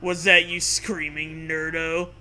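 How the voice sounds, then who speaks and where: A man voicing a cocky, mocking teenage boy in a comic story read-aloud, sneering and exclaiming loudly. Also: on the high side, level tone, slow, slight background noise, exaggerated voice.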